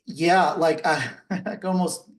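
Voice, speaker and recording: A man's voice: a little high, a little slow. A man speaking hesitantly and giving compliments in a casual group discussion. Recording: distant from the microphone.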